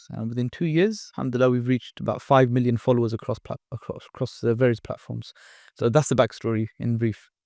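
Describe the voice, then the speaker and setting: A man from the UK, a podcast guest, telling his story in a relaxed way, with fillers and restarts. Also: medium pitch, no echo, clean studio sound. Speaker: guest, content creator. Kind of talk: casual podcast interview.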